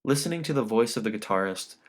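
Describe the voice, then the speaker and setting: A man's voice: medium pitch, animated, fast. A man reading a short story as an audiobook narrator, in scripted literary narration.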